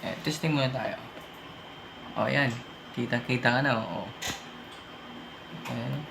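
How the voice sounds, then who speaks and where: A man's voice: medium pitch, slow, vocal fry. A Filipino man presenting an informal hands-on tech tutorial, thinking aloud as he goes step by step. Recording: noisy amateur room recording.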